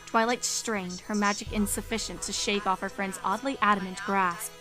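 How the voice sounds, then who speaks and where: A woman's voice: medium pitch, steady tone, moderate pace, pushed and bright, poor audio. A woman reading scripted story narration as the narrator of a fan fiction audio story. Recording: music behind the voice.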